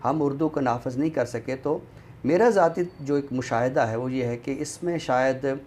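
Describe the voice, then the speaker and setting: A man with a Pakistani accent speaks as a guest in a thoughtful discussion, formal and deliberate, pausing often. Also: mid-range voice, a little mellow, slight background noise. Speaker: guest commentator on a literary show.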